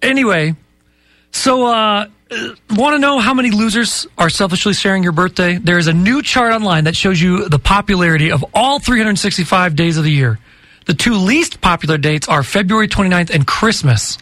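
A man's voice: sits high, animated, normal speed, bright, forceful tone, dry recording. A man hosting a morning radio show in a studio, chatty and flustered, stumbling over words. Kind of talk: casual morning radio banter. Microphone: studio microphone.

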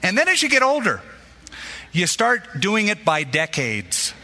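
A man's voice: high-pitched, wildly swooping pitch, unhurried, bright, forceful tone. A man preaching a sermon, telling stories and acting out the voices of people he quotes. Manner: storytelling, acts out quoted voices. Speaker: pastor. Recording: long hall reverb.

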